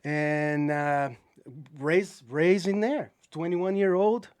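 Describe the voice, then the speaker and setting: A Brazilian man with a Brazilian accent and Portuguese as his first language, a guest in a podcast studio, telling stories in casual, animated English and calling people "bro". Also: fairly high pitch, slow pace, clear, resonant tone. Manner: animated, says "bro" often, with a second-language phrasing. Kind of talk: casual storytelling.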